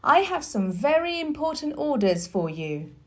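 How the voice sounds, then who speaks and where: A woman voicing an imperious, excitable young boy invalid full of wonder, in a storybook reading. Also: sits high, moderate pace, pitched above the performer's own voice.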